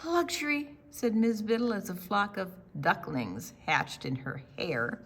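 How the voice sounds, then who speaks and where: A woman hosting a children's storytime, reading a picture book aloud with theatrical, deliberate phrasing that draws in young listeners. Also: mid-range voice, very animated.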